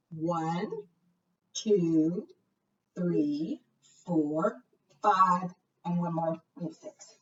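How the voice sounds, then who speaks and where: A woman talks to preschoolers as a storytime librarian, her pitch swinging widely as she coaxes them with questions. She takes her time, and the sound is muffled, as from a microphone some way off. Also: distant mic. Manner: coaxing questions, exaggerated gasps and singsong refrains. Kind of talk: interactive storytime talk to small children.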